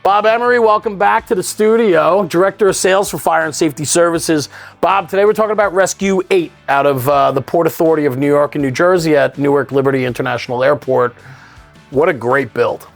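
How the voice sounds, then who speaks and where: A man's voice: a little high, steady tone, brisk, creaky, faint music behind. A man hosting a studio interview, explaining things in an enthusiastic way. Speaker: show host interviewing a guest. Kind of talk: studio interview about fire apparatus.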